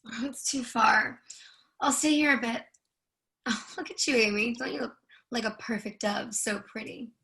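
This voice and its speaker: A high, creaky-edged woman, played by an actress in a play reading. She is blunt and earthy, teasing people with folksy, wry remarks. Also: steady tone, normal speed, pushed and bright. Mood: winded, exasperated.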